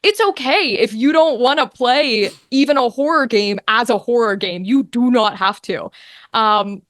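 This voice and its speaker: Female interview guest, slightly high voice, casual and expressive, performer's flair, very dry sound. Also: a little slow, no echo. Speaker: guest, actor and game master.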